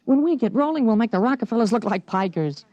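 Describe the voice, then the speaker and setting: A man's voice: very high voice, animated, quick pace, mellow, no echo, lo-fi sound. An actor playing a precocious thirteen-year-old boy in 1950s radio drama, earnest, matter-of-fact and insistent. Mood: confident, persuasive.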